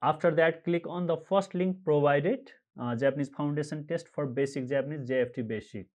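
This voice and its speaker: A man from Nepal walking viewers through an online form step by step, pausing often with uh and um as he reads out codes. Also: fairly high pitch, darkish tone. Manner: halting, frequent uh and um fillers, reads out codes slowly. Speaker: tutorial presenter. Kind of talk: screen-recorded how-to walkthrough.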